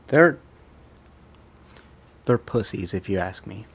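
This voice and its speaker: A man playing a gloomy, timid demon-king rock star in a comedy sketch, talking quietly and often dropping to a mumble or near whisper. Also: lowish voice, animated, slow pace, a little hiss or hum. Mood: sullen.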